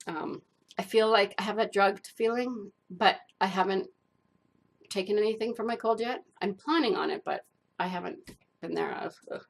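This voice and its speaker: Woman art vlogger with a cold, nasal and stuffed up, a little gravelly, rambling and chatty. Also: mid-range voice, moderate pace. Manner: chatty, rambling with many fillers. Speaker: art channel host. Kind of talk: casual talk to camera.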